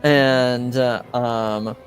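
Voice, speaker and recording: A man improvising narration as a tabletop game master, in halting, hesitant, piecemeal phrases. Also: mid-range voice, steady tone, soft background music.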